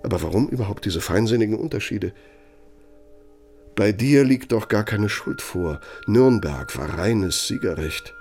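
Radio drama role: bitter older henchman, low gravelly voice, sarcastic and menacing, faint music behind. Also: expressive, darkish tone. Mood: bitter reminiscing. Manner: sarcastic, needling, emphatic, drawn-out phrasing for menace. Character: bitter, sardonic older former right-hand man, quietly threatening. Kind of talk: radio play dramatic monologue.

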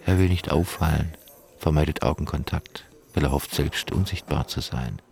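A man's voice: a bass voice, moderate pace, creaky, a little mellow, faint music behind. An actor playing a man's clinical inner observations of body language in a radio drama, terse and analytical. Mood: analytical, detached.

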